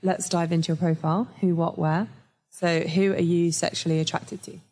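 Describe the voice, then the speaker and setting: A woman's voice: lowish voice, flat delivery, normal speed, a little mellow. A woman hosting a podcast in a studio, reading a scripted intro and then chatting in a bubbly way with frequent laughs. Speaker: podcast host. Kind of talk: casual chat with scripted intro. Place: recording studio.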